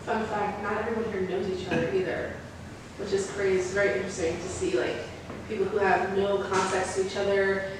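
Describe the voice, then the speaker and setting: A woman's voice: mid-range voice, level tone, brisk. A woman moderating a group discussion in an informal, effusive way. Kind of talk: casual hosting talk. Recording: distant, echoey room with clear noise.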